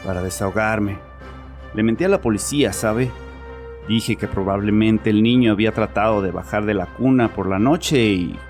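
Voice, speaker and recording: Male actor as haunted father, low voice, guilt-ridden confession, audio horror drama, music underneath. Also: normal speed, darkish tone, natural voice. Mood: bitter, confessional. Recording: music behind the voice.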